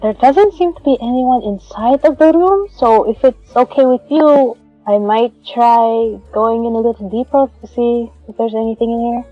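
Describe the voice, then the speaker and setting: A woman's voice: a little high, normal speed, few pauses, no echo, muffled recording. A woman playing a tabletop fantasy game, casually explaining what her character does and says. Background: music.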